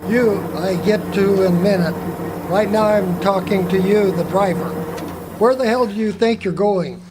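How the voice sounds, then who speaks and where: A man playing a hostile, beefy local sheriff in his thirties in a radio drama, loud, gruff and bullying. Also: high-pitched, normal speed, lightly acted. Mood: hostile, demanding. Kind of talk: live radio drama acting. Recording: noisy outdoor stage, loud and uneven.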